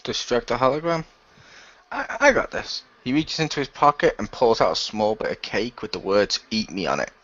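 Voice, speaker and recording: A man's voice: mid-range voice, very animated, moderate pace, fairly bright tone, dull audio. A man playing in an improvised audio role-play, bantering in character with a sardonic manner.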